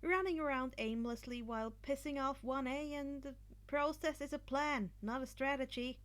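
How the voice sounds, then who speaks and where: A woman's voice: high voice, moderate pace, natural voice. A woman voicing an earnest, determined teenage boy who talks persuasively and politely, in a fan fiction reading. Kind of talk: fan fiction podfic character voice.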